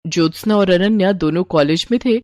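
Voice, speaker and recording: A woman's voice: lowish voice, fairly quick, heavy background noise. A woman reading a scripted story as its narrator.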